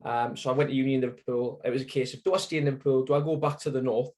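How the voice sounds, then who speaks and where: A man's voice: mid-range voice, steady tone, racing, a little mellow. Rambling young man with Sunderland accent. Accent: Sunderland (Mackem). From Sunderland, England. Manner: rambling, lots of fillers and self-corrections. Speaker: interview guest. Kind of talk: casual storytelling interview.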